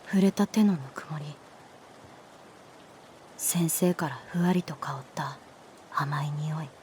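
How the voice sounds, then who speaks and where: A woman playing a guarded, sullen middle-school runaway girl in a Japanese audio drama, curt in speech with moody, breathy murmured asides. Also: deep voice, slow, a little mellow, a little hiss or hum. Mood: wistful, introspective. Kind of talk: Japanese audio drama acting with introspective narration.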